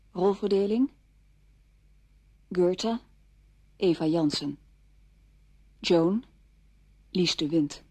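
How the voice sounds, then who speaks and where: A woman radio announcer reading scripted credits in a formal, measured way, in short separate phrases. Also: fairly low pitch, slightly rough, no room sound.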